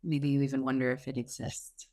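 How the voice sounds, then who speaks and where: A woman leading a guided meditation as the teacher, speaking in a gentle, soothing, unhurried way with pauses. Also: rumbling low, flat delivery.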